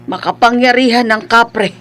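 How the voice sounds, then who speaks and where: A woman's voice: a little high, moderate pace, fairly bright tone, close to an everyday voice. A woman playing a worried, pleading mother of a grown son in a Filipino radio drama. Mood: fearful. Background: faint music.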